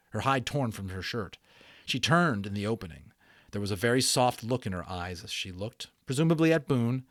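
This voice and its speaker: A man reading a story aloud as a dramatic, expressive narrator, with casual explanations for listeners. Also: medium pitch, no room sound, clean studio sound. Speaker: audiobook narrator and channel host.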